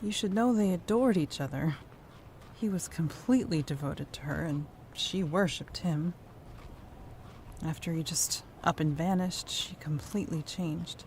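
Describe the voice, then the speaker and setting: A woman's voice: lowish voice, measured pace, darkish tone, a little hiss or hum. An actress playing a tender, secretive woman professor with a flowery, effusive way of talking in an audio drama. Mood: guarded, earnest. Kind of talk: naturalistic audio drama acting.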